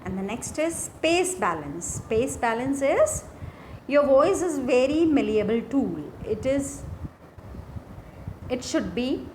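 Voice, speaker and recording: A woman with an Indian accent teaching a lesson from slides in a deliberate way, repeating key phrases. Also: sits high, very animated, a little mellow, a little hiss or hum. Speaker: teacher, lecturer. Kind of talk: instructional lesson explaining slides.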